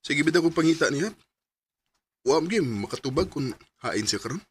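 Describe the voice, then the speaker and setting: A Filipino man with a Cebuano accent acting a shy, earnest, lovelorn character in a Bisaya radio drama, speaking haltingly. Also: on the high side, lively intonation, a little hiss or hum, close to an everyday voice. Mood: longing.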